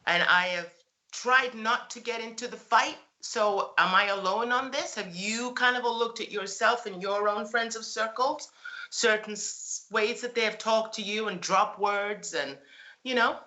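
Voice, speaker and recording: A woman's voice: on the high side, measured pace, pushed and bright, poor audio. A woman hosting a talk show, speaking in an outspoken, emphatic way. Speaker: host. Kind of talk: radio talk show conversation.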